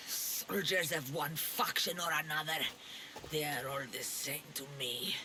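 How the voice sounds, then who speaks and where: Wounded game sailor: weary, gruff, bitter, with noticeable ambience behind. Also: female voice, deep voice, measured pace, background noise. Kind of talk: video game cutscene acting.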